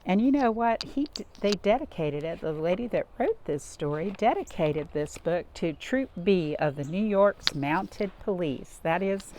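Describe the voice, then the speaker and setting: A woman's voice: lowish voice, animated, a little slow, hardly any pauses, warm tone, a little hiss or hum. A woman hosting a children's storytime, speaking in simple, repeated phrases and addressing young listeners directly. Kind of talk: read-aloud storytelling and craft talk for young children.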